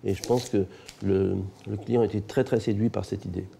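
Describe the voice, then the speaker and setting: Older man, architect, emphatic, repeats words, low, mellow. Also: fairly even intonation, normal speed, gravelly, soft background music. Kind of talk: interview.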